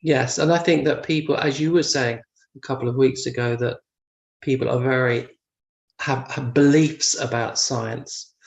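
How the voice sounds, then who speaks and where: A man in a casual discussion of Buddhist teaching, talking hesitantly with fillers and soft laughs over a video call. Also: mid-range voice, gravelly, roomy sound. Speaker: discussion participant.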